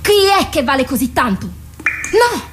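A woman's voice: sits high, sing-song, a little slow. An actress playing a protective, jealous dead woman in a radio drama, pleading and reproachful, with intense theatrical delivery. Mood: urgent, reproachful. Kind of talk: Italian radio drama acting. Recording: clearly noisy radio drama recording.

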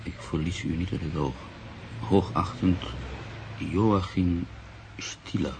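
A low-pitched, brooding newspaper writer in a radio drama. He is proud and sceptical, with measured, literary phrasing. Clear noise sits behind the voice. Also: fairly even intonation. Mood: measured reading. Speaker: actor. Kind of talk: radio drama acting, monologue and narration.

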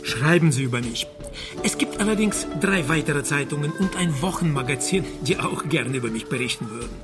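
A man acts out a wry former KGB agent who now works as a private detective. His voice is on the high side, with dry, understated irony, as in a radio play, and music runs underneath. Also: expressive, brisk, natural voice. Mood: sly, haggling. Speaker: narrator and lead character. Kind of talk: radio drama acting with first-person narration.

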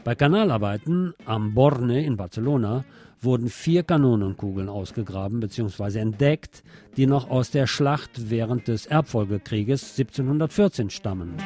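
A man presenting scripted local radio news with dry, wry asides. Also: mid-range voice. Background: plucked guitar music.

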